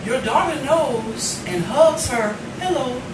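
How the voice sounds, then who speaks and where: A middle-aged woman reading poetry live, with deliberate, dramatic phrasing and pauses. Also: high voice, lively intonation, recorded from a distance. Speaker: poet, featured reader. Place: indoor cafe reading. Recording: noisy, echoey room recorded from afar.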